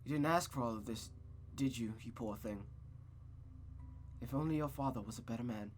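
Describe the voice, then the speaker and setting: A man's voice: medium pitch, normal speed, soft background music, lightly acted. Man voicing a cold, controlling, bitter mother. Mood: cold, brooding. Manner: cold, commanding, lecturing. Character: controlling, bitter, menacing mother, adult woman. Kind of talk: visual novel voice acting.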